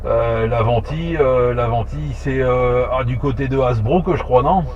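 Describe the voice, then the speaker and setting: A man chatting casually over amateur radio in a halting way, repeating words as he thinks. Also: mid-range voice. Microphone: two-way radio transmission. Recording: muffled two-way radio, faint noise.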